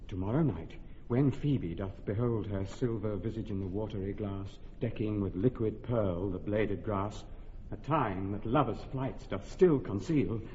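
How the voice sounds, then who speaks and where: A man's voice: lowish voice, measured pace, dark tone, lightly acted. Guy doing a lovestruck young nobleman, earnest and tender, old muffled radio sound. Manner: earnest, tender verse speaking. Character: ardent young nobleman in love. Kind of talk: radio drama acting. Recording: dull lo-fi radio recording, faint hiss.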